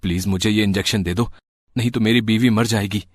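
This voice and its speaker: A man's voice: on the low side, steady tone, brisk, no room sound, natural voice. A man playing a poor, desperate husband who pleads, in an amateur moral story.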